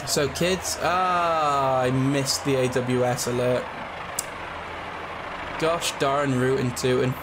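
A young man with a slightly raspy, hoarse voice from a cold, chatting loosely with lots of fillers while playing a train simulator. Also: mid-range voice, fairly even intonation, slow pace. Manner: rambling, chatty, with frequent fillers. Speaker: gaming channel host. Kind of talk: casual commentary over a train simulator. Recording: loud game train noise behind.

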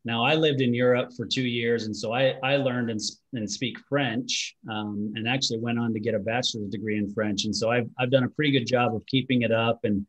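A man's voice: medium pitch, steady tone, fairly quick, hardly any pauses, rough recording. Man from Utah, forties, host, friendly and conversational, video call, home office. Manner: friendly, conversational, fillers like "you know". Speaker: podcast host. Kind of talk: casual interview over video call.

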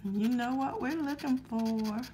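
Woman vlogger, high voice, unhurried, a bit hoarse, reads numbers aloud. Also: slight background noise. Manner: friendly, calmly reads out numbers. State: slightly scratchy throat. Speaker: lottery ticket scratching vlogger. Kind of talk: casual to-camera chat while scratching tickets.

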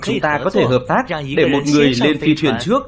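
Higher-pitched man doing cartoon voice-over. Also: animated, fairly quick, a loud crowd. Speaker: movie recap dubber and narrator. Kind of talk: Vietnamese voice-over reading of animated film dialogue. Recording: music under the voice. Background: music.

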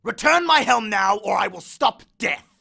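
Guy doing a ranting god of the dead, loud and high, gravelly bark, super animated. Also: normal speed, exaggerated voice. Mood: menacing. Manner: bellowing, complaining, menacing. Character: angry male god of the dead, grand and aggrieved. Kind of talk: audiobook character voice.

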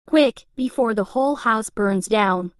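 A practical, bossy girl in a game roleplay, voiced by a woman. She speaks slowly in a slightly high voice, with short plain lines and a very dry sound. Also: clean tone, dry recording, natural voice. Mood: impatient. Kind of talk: scripted game roleplay dialogue.

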